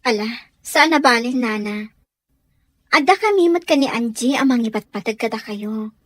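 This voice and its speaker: Actress as a high-pitched devoted young Ilocano woman. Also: lively intonation, moderate pace, fairly bright tone, no echo. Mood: reassuring. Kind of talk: Ilocano radio drama acting.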